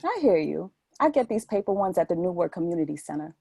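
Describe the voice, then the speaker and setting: A woman's voice: fairly low pitch, big pitch swings, quick pace, creaky, mellow, husky, no echo, heavily acted. A woman playing a bold, teasing, insistent city woman in her thirties in an audio play. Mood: playful, insistent.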